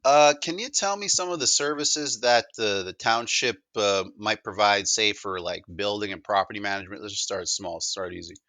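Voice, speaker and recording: A man with a Canadian accent and a low, forceful voice gives an informal demo pitch. He talks discursively, stumbling and doubling back on words. The sound is dry and close. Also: normal speed, few pauses, no echo. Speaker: presenter of a technology demo. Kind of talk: casual sales presentation, conversational.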